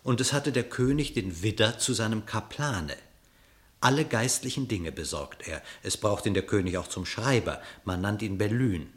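A man narrating an epic verse fable for a radio play in an expressive, literary storytelling manner. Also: on the low side, normal speed.

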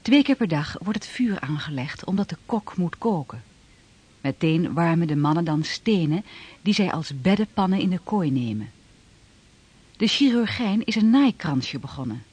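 A woman reading scripted narration for a historical radio drama in a husky voice. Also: lowish voice, expressive, normal speed, a little mellow.

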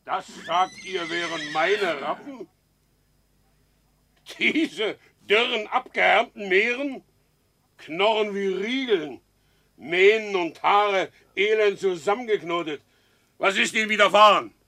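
A proud horse dealer in a radio play, with a fairly high voice. He speaks in formal, emphatic German stage diction, insistent and stern, a man wronged who demands justice. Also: takes it slowly, bright, forceful tone, heavily acted. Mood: outraged. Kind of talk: German radio drama acting.

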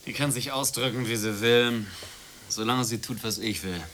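An actor playing a sardonic, boastful drifter with a wry, domineering manner in a radio play. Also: male voice, mid-range voice, moderate pace, natural voice. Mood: dismissive, mocking. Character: cocky, sardonic drifter and dealer, domineering toward women. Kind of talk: German radio play acting. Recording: noisy 1980s radio play recording.

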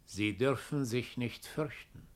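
A mid-pitched man acting an ominous doppelganger in a 1960s German radio play. He is solemn and implacable, declaiming each sentence with measured weight. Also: close to an everyday voice. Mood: solemn, commanding. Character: ominous, implacable male doppelganger, a self-confessed murderer.

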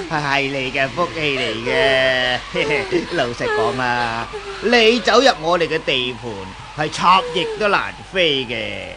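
An actor in a Cantonese radio drama playing a menacing, lecherous gang leader who gloats and taunts. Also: male voice, fairly high pitch, noisy background.

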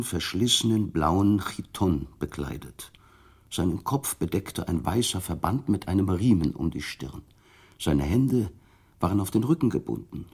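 Deep, husky male radio drama narrator. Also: darkish tone.

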